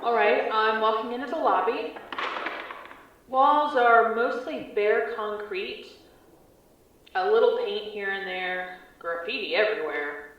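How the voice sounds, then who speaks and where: A woman's voice: on the high side, takes it slowly, a little hiss or hum. A woman playing a field agent who reports her observations matter-of-factly as she explores. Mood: watchful, matter-of-fact. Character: female field agent reporting while exploring an abandoned school. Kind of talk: audio drama acting of a field log. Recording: very echoey, distant, muffled mic.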